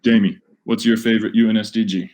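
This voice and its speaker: A man facilitating a webinar, talking off the cuff in a measured, halting way as he explains and puts questions to participants. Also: lowish voice, flat delivery, darkish tone. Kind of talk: unscripted webinar teaching, posing questions to participants.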